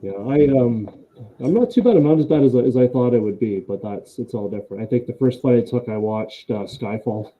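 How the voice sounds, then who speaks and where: Man, host, friendly and laughing, halting restarts, tinny phone-like sound. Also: medium pitch, animated. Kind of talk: casual live interview chat.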